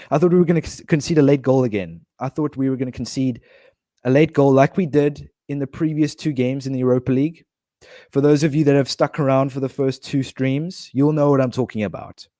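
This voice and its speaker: A man's voice: mid-range voice, dark tone, no echo, clean studio sound. A man streaming a football manager game, talking casually and rambling with restarts, his nose blocked. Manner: chatty, rambling, many restarts and fillers. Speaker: gaming streamer.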